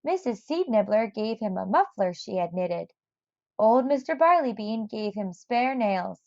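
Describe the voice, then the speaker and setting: A woman reading a children's story aloud in an expressive narrating style. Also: mid-range voice, unhurried, no room sound, dull audio. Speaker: storybook reader.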